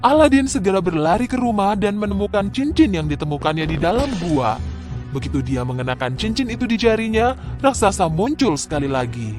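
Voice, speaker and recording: High-pitched man narrating a fairy tale. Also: very animated, a little fast, over music. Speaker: storyteller.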